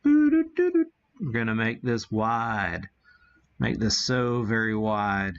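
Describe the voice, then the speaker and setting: Slow-talking American man, dull muffled audio. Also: on the low side, sing-song, clean tone. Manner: folksy, mild homespun exclamations. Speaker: livestream host demonstrating ham radio gear. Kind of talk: casual live demo, thinking aloud.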